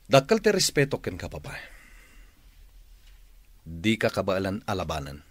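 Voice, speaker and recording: A man's voice: low-pitched, huge pitch swings, moderate pace, dry recording, exaggerated voice. A man acting in a radio drama as a resentful, ambitious son of a crime boss, pleading and argumentative. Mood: defensive.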